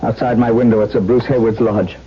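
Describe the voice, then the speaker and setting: Actor as a haunted widower, dulled radio sound. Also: male voice, medium pitch, little pitch movement, a little fast, slight background noise, close to an everyday voice. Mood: earnest, anguished. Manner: intense, literary, melancholy. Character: brooding, haunted widower, tormented by lost love. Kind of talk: dramatic first-person radio narration and dialogue. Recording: dull 1970s radio recording, music behind.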